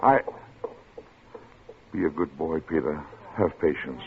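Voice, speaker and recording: An actor playing a timid middle-aged clerk who has become a killer, narrating and stammering nervously in a 1950s radio drama. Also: male voice, rumbling low, animated, measured pace, vocal fry, husky, exaggerated voice. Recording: tinny old radio broadcast with music.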